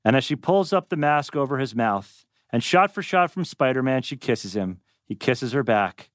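Lively male narrator with a dry, close sound. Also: medium pitch, normal speed, no echo. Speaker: narrator reading stage directions. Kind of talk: animated script reading.

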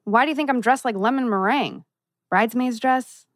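A woman's voice: a little high, fairly quick, no echo, studio quality, lightly acted. A woman playing a snippy, sarcastic, exasperated teenage girl in a TV teen drama table read.

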